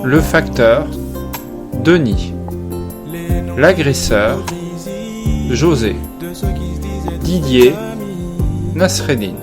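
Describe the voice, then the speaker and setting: A man reading scripted voice-over as a narrator, in a deliberate announcing manner. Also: mid-range voice. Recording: music behind the voice.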